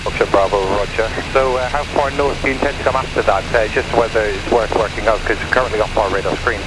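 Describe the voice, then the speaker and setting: A man's voice: on the low side, fairly even intonation, fast. A man working as an air traffic controller, giving clipped procedural instructions over aviation radio. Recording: radio transmission under loud engine noise. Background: aircraft engine.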